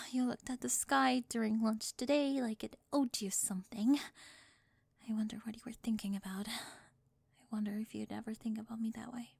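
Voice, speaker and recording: A woman voicing a flustered, defensive teenage cheerleader bully with a secret crush in an ASMR roleplay, halting and nervous, often dropping toward a whisper. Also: on the high side, fairly even intonation, gravelly, husky, no room sound. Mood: shy, confessing. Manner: halting, self-interrupting, nervous laughs, drops into whispers.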